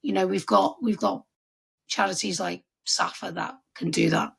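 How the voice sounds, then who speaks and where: A woman's voice: mid-range voice, moderate pace, vocal fry, fairly bright tone, breathy edge. A woman with a Scouse accent hosting a podcast interview, chatty and encouraging, often restarting her sentences. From Liverpool area. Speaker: podcast host.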